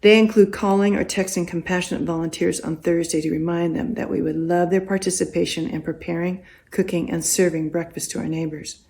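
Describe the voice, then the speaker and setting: An older American woman reading a prepared personal testimony as a volunteer, in a deliberate, expressive storytelling way with pauses. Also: fairly low pitch, gravelly. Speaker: congregation volunteer giving testimony.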